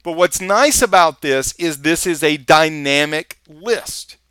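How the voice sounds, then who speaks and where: Texan male instructor, screencast voice-over, bright and dry, casual and chatty while clicking around. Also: a little high, animated, slow pace, clean tone, dry recording. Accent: Texas. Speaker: software instructor. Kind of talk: screencast tutorial walkthrough.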